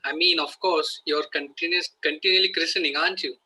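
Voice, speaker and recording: A man playing an evasive, easily exasperated Victorian country gentleman in a play read-through, polite but fussy. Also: a little high, fairly even intonation, brisk, breathy edge, full character voice, rough recording. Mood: offhand. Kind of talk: amateur play table read.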